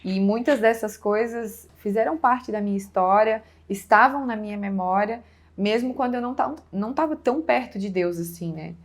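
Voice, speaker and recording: A woman's voice: medium pitch, moderate pace. A woman pastor with a southern Brazilian accent, giving an informal personal testimony as a guest, with frequent conversational check-in tags.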